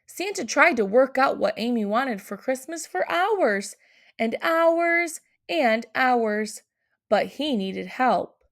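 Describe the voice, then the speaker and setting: A woman's voice: high voice, animated, takes it slowly. A woman narrating a picture book aloud for children. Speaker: storybook reader.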